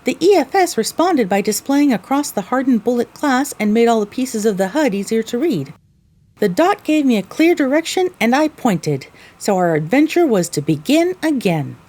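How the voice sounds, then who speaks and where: Young pony heroine narrating her wasteland story, high voice, really animated delivery. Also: normal speed. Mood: earnest, storytelling. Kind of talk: scripted first-person audio drama narration.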